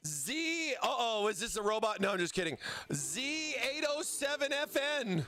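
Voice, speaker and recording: A man hosting a livestream giveaway, excitable and playful as he hypes up his chat, his voice strained from overuse. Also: very high voice, moderate pace, faint music behind. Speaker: livestream host.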